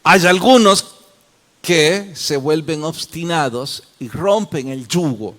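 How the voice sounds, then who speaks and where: Male pastor teaching a class: fairly high voice, unhurried, quizzes listeners by name. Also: expressive, fairly bright tone. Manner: questions the class and calls on students by name; slow, deliberate explanations. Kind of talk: interactive Bible study.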